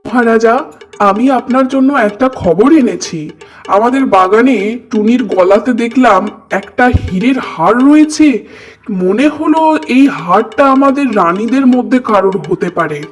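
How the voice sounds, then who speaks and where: Man as cartoon army commander, high male pitch, officious, dutiful, commanding, declamatory, soft music underneath. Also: lively intonation, moderate pace. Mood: reporting. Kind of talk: children's cartoon fairy-tale acting.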